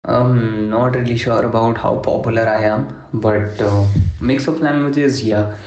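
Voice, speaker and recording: A young man from Delhi, a rapper, answering interview questions in a measured way, with many fillers like "you know" and "uh". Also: medium pitch, steady tone, a little mellow, faint music behind. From Delhi, India. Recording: echoey room, dull lo-fi sound.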